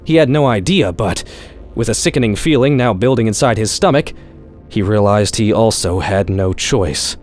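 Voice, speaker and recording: A man reading a horror-comedy short story aloud in an expressive, wry, profane style. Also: on the low side, moderate pace. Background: background music.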